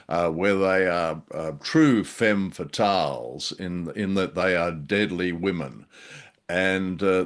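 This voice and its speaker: A male podcast co-host discussing an old radio drama in an unhurried, hesitant way with frequent fillers. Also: low voice. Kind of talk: casual discussion.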